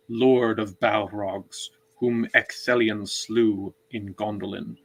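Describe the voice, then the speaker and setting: A man's voice: on the low side, bright, forceful tone, husky, low-quality recording. A man co-hosting a book read-along, discussing and reading passages aloud.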